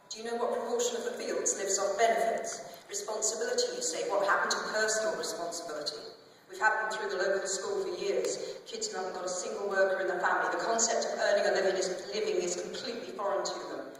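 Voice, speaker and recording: A man's voice: high-pitched, steady tone, quick pace, a bit bright. A woman voicing a smug, condescending middle-class man in a dramatised novel reading, recorded from far off in an echoing theatre. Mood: indignant. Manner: condescending, rhetorical. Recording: echoey theatre hall from far off, faint noise.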